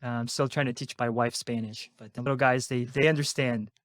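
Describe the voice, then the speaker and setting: A man's voice: mid-range voice, fairly even intonation, a little fast, no echo, studio quality. A man from Colombia hosting a finance podcast, upbeat and encouraging, often repeating his phrases. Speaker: podcast host. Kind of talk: podcast interviewing.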